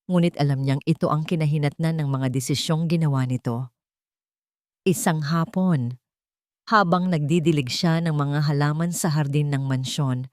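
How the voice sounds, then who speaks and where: A woman's voice: lowish voice, a little mellow, no echo, studio quality. A woman with a Filipino accent reading a dramatic scripted story. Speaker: story narrator.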